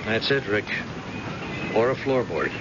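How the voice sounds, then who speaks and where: An animated, stubborn police captain, blinded but insistent in an old radio drama. He protests and reassures with halting starts. The recording is dull and clearly noisy. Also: lowish voice. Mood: proudly confident.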